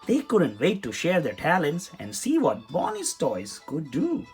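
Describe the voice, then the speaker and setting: Someone reading a children's story aloud in an expressive, dramatic way. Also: mid-range voice, a little slow. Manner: animated, dramatic delivery. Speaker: storybook reader. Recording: music under the voice. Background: music.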